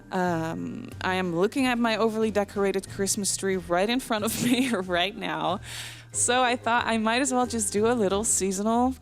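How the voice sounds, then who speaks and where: A young woman from the Netherlands with a light Dutch accent, Dutch her first language, doing a casual, rambling voiceover with frequent giggles, fillers and restarts. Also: mid-range voice. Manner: chatty, giggly, self-deprecating, with many fillers and restarts. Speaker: Sims speed build vlogger. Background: soft background music.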